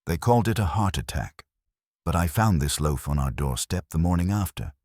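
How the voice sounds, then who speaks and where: A man reads the part of a grieving widow in her thirties from a mystery story. The voice is extremely low and dry, nervous and urgent, as someone holding herself together. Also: animated, moderate pace, darkish tone, dry recording. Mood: tense, grieving.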